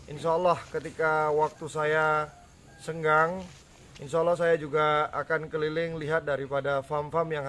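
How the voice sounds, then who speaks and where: A man's voice: fairly high pitch, a bit bright. A young man talking to camera in a casual promotional vlog, earnest and persuasive, inviting viewers to come and learn. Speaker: farm owner giving a promo talk. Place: outdoors by a garden fence.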